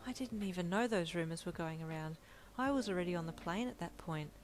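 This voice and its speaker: A woman voicing a gentle, apologetic young husband who is a hero. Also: lowish voice, fairly quick, soft background music, natural voice. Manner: soft and apologetic.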